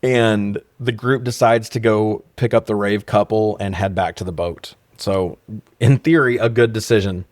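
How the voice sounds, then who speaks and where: A man's voice: fairly low pitch, fairly even intonation, normal speed, darkish tone, dry recording. A man in his forties co-hosting a casual comedic review show, talking in a profane, sarcastic way. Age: 40s. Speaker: podcast co-host reviewing films. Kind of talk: casual comedic recap chat.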